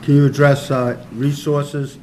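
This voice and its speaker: A man chairing a school board meeting, speaking haltingly with many uhs and restarts. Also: mid-range voice, flat delivery, mellow. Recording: noisy meeting-room recording.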